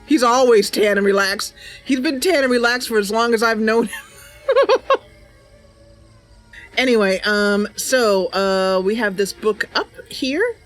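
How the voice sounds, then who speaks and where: A woman hosting a casual gameplay livestream, chatty and giggly, with hesitant pauses. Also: a little high, expressive. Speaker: livestream host. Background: game music and ambient sounds.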